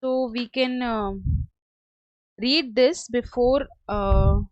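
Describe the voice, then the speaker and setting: High-voiced woman giving a halting software tutorial. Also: no room sound. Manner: hesitant, halting delivery. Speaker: software trainer. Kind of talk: step-by-step screen tutorial. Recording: dull lo-fi close dry audio.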